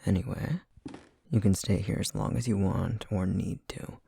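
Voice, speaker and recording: A man playing a gruff, weary but welcoming housemate in an ASMR roleplay, with a raspy, rumbling, slightly congested voice and a hesitant, matter-of-fact way of talking. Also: fairly even intonation, normal speed, dark tone, slight background noise. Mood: laid-back, welcoming.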